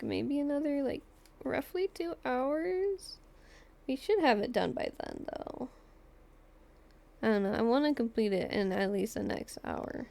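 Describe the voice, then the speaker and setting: A woman with a creaky voice chatting casually as she streams live gameplay. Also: a little high, a little mellow.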